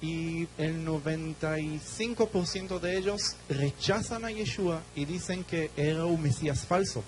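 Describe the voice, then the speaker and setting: Guest preacher, Israeli man, Hebrew, short phrases left for the interpreter, slightly high voice, faint hum. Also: unhurried, darkish tone, poor audio. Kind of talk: sermon with live interpretation.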